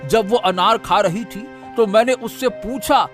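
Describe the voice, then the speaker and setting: High male voice, actor playing bullying orchard owner, cartoon villager, blustering, exclamatory, scheming. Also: unhurried, fairly bright tone, faint music behind. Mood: furious, threatening. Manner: blustering, exclamatory, repeats commands. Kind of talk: Hindi cartoon moral-story voice acting.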